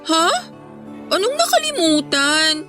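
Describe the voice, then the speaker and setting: A coy teenage Filipino schoolgirl in a radio drama. The voice is very high and lively in pitch, hesitant and gently pleading as a peacemaking best friend. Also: takes it slowly, soft background music, full character voice.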